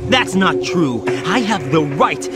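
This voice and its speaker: Young soldier, higher male voice, defiant and tense, game cutscene, music score. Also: very animated, slow pace. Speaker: game character. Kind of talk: video game cutscene voice acting. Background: dramatic music score.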